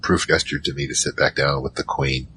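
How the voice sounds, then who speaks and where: Man playing naive young storyteller, very deep, bright. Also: flat delivery, fairly quick, slight background noise. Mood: recounting, casual. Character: naive young man Michael recounting his adventures. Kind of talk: audio drama first-person narration.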